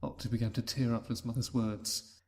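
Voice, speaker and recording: Brief: male narrator, calm storybook reading, soft mellow tone, middle pitch. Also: a little fast. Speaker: reader. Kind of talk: fan fiction story narration.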